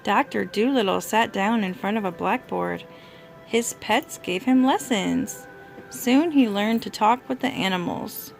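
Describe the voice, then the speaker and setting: Creaky-voiced woman reading a storybook aloud. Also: medium pitch, unhurried, faint music behind. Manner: gentle questioning and prompting of a child. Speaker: mother reading to her toddler. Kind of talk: children's storybook read-aloud.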